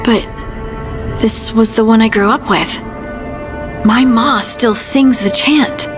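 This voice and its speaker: A woman's voice: fairly high pitch, slow, music in the background. A woman playing an earnest, troubled young adventurer in a fantasy game, with a hesitant, plain-spoken manner.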